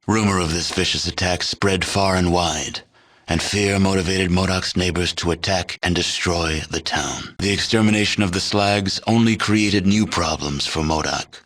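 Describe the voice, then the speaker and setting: An actor playing a grave, world-weary storyteller narrating a post-apocalyptic video game in a measured, solemn, deadpan way. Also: male voice, deep voice.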